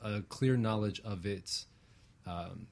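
Man, low voice, talk show guest, reflective, halting conversational delivery. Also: darkish tone. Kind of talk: reflective conversational interview answer.

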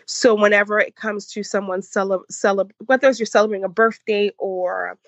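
A woman in her forties with a Haitian accent talks as a podcast guest, thoughtful and circling back as she finds her words. Her voice sits at a middle pitch. The sound is dry and a little dull. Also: fairly even intonation, a little fast, no echo. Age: 40s. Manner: reflective, with many restarts and laughs. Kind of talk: casual interview chat.

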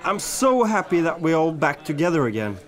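A man's voice: on the high side, big pitch swings, a little fast, some chatter behind. A man playing a sentimental, solemn amateur poet from a rock band in a radio play. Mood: heartfelt. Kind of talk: amateur radio play acting, poetry recital. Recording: music behind the voice.

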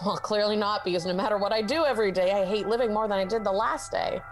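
A woman's voice: mid-range voice, fast, faint music behind, lightly acted. A woman with a Canadian accent plays a confused, sarcastic young woman in therapy, deflecting and muttering asides. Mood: wistful. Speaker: therapy patient. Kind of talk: student radio comedy acting.

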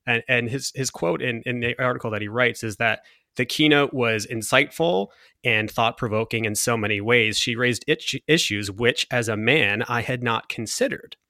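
A deep-voiced man in casual conversation, thinking aloud and circling back on his words. His tone is bright and forceful. The recording is dry and close, with no room sound. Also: moderate pace, no room sound. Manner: thoughtful, restarts often, reads quotes aloud.

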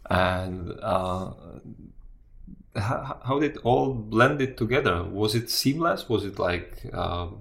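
A man's voice: fairly low pitch, expressive, takes it slowly, gravelly, a bit bright. A man casually interviewing someone, hesitantly rephrasing his questions as he goes. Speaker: interviewer in conversation with a guest.

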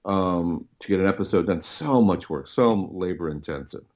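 A middle-aged man speaking as a podcast guest, telling stories casually and haltingly, often repeating words as he thinks. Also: low voice, a little mellow. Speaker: podcast guest, audio producer. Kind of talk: casual interview storytelling.

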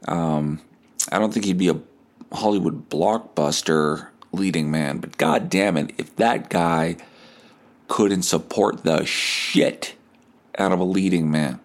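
A man hosting a podcast, telling stories in a casual, comedic, animated way with some swearing. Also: sits low, slow pace. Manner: animated, acts out quoted dialogue; profane. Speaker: podcast host.

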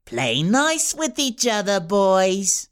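A smug young villain who bosses an army of squirrels and talks with cool, self-assured menace, as a man narrator puts him on. The voice sits higher than most men's. The storybook reading gives him a calm, threatening edge. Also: slow pace, clear, resonant tone, exaggerated voice. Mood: menacing.

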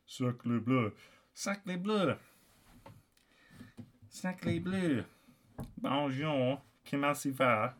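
A menacing French cannibal captor, played by a male storyteller in a comic tale. He speaks slowly and haltingly in a slightly high voice, dropping in French phrases. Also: lively intonation, pushed and bright, slight background noise, exaggerated voice.

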